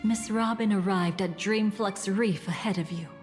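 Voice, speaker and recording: A woman playing a poised, enigmatic game character with a measured, theatrical, teasing delivery and quiet menace. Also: mid-range voice, long reverb tail, faint music behind, natural voice. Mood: solemn storytelling. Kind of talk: dramatic video game narration and dialogue.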